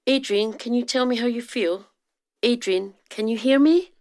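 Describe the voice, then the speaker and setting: A woman playing an earnest, clinical research scientist in a radio drama, formal and measured with some anxiety underneath. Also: a little high, a little mellow, close to an everyday voice. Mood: grave, clinical.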